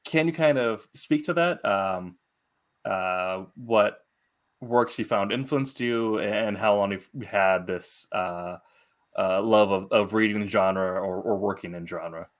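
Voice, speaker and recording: Lowish-voiced man, halting interview host. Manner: hesitant, halting phrasing. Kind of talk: casual interview chat.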